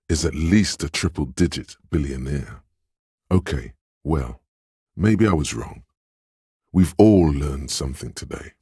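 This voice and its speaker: A man voicing a suave, coaxing inner voice of persuasion in a video game, with a husky, insinuating, knowing delivery. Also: rumbling low, darkish tone, no echo, studio quality, exaggerated voice. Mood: sly, wry. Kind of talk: video game voice acting, advisory narration.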